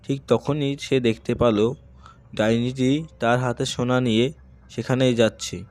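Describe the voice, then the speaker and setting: A man's voice: medium pitch, steady tone, measured pace, darkish tone. A man narrating a children's cartoon tale as a scripted storyteller. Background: music.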